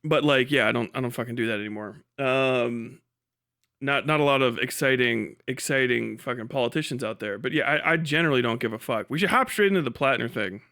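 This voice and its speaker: Bright, clear-voiced man chatting on livestream. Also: medium pitch, fairly quick. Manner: sweary, dismissive, sardonic. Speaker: livestream host. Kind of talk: casual livestream chat.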